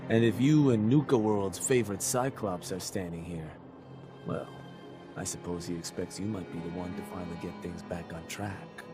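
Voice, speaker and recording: Man playing a low, sly, scheming raider adviser. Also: moderate pace, music in the background, natural voice. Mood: wry, sly. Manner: smooth-talking, sardonic, persuasive. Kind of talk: video game character dialogue.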